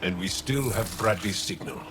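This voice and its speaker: A man's voice: low voice, normal speed, background noise, natural voice. A man playing a wary, seasoned alien resistance fighter in a video game, speaking seriously and with care. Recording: music behind the voice.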